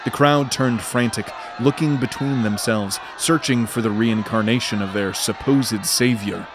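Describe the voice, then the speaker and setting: Male fantasy narrator, measured and dramatic. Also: mid-range voice, fairly even intonation, a crowd in the background, noisy background.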